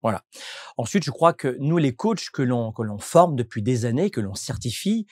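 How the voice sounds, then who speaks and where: A man's voice: mid-range voice, very animated, moderate pace, a little mellow, slightly rough, no room sound, studio quality. A man from France, a coach and entrepreneur, answering interview questions in a reflective, explanatory way with frequent restarts.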